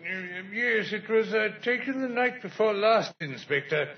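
An actor playing a flustered, blustering upper-class colonel in a radio drama, stammering and excitable, on a vintage recording. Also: male voice, sits high, unhurried, highs cut off. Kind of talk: old-time radio acting.

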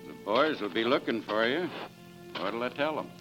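Man playing a lively, swooping small-town judge. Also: medium pitch, normal speed, lightly acted. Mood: hearty, apologetic. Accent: American. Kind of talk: 1940s radio drama acting. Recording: muffled 1940s radio broadcast with music.

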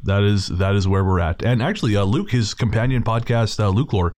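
Low-voiced man hosting a podcast. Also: a little fast, darkish tone, no echo, studio quality. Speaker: podcast host. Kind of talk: casual podcast introduction.

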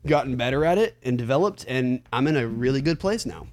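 A man's voice: mid-range voice, animated, fairly quick, a little mellow. Earnest man in his late twenties. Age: 20s. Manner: earnest, reflective, many fillers. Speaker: musician. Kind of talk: casual podcast chat.

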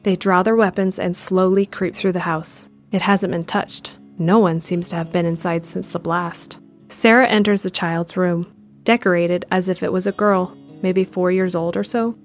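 A woman giving steady scripted narration of an audio drama in the present tense. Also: fairly low pitch, normal speed, faint music behind.

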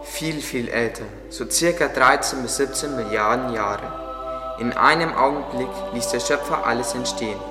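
A man's voice: medium pitch, normal speed, close to an everyday voice. A man playing a kindly, devout gardener who explains things earnestly in an audio drama. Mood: earnest, explanatory. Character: devout, kindly male gardener, patient teacher. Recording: echoey, long reverb, under music. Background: music.

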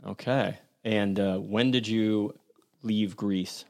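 A man's voice: on the low side, fairly even intonation, slow pace, creaky, a little mellow. A man hosting a podcast, reading a sponsor message and interviewing a guest in a friendly, conversational way. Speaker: podcast host.

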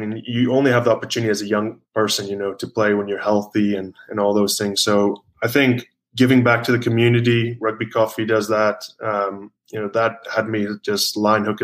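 Rambling South African-born man, lower voice. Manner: rambling, many fillers and restarts. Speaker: rugby player guest. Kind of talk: casual interview chat.

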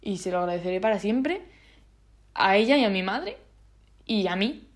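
This is a nineteen-year-old woman with a Spanish accent from Spain, chatting on her own in a casual vlog. She rambles, restarts her sentences often and drops plenty of slang. Her voice sits at an ordinary middle pitch. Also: expressive, normal speed. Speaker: vlogger.

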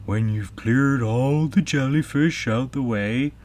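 A man's voice: mid-range voice, takes it slowly, a little mellow, pitched above the performer's own voice, natural voice. Man as a mournful, earnest storybook sea turtle. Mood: animated, instructing. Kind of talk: storybook character voice.

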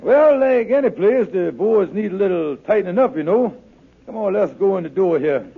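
A man's voice: high-pitched, animated, moderate pace, creaky, breathy edge, full character voice. A man playing a blustering, slippery con man in a 1950s radio sitcom, folksy in dialect and full of hemming salesman's patter. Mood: smooth-talking. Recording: narrow, noisy vintage radio recording with clicks.

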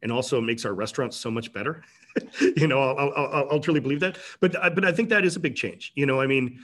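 A middle-aged man speaks as an interview guest in a relaxed, rambling way. He restarts his sentences often and fills the gaps with "you know". His voice sits at an ordinary mid pitch. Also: quick pace. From Canada. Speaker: tech company president, guest. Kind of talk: casual interview talk.

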